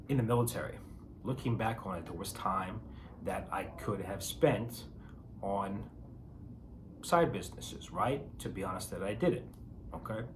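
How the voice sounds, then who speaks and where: A hoarse man with a raspy voice from a cold, chatting casually with his viewers and giving advice. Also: low voice, background noise. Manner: chatty, emphatic, frequent fillers and rhetorical questions. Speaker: bitcoin video host. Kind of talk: casual talking-to-camera advice.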